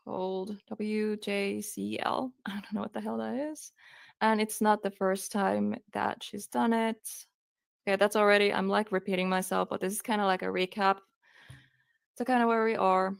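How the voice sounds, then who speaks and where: Finnish-accented woman with a gravelly voice. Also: medium pitch, level tone, unhurried, long pauses, dry recording, studio quality. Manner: hesitant, many ums, reads quotes aloud. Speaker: true crime streamer. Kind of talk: casual live-stream case reading.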